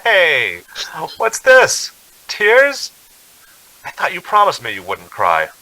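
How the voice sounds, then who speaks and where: A man's voice: high-pitched, wildly swooping pitch, bright, forceful tone, no echo, heavily acted, rough recording. A man playing a haunted thirty-six-year-old traveler in a radio drama, with an urgent, dramatic way of talking. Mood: cheerful, reassuring. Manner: urgent, dramatic, halting confession. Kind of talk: 1940s radio drama acting.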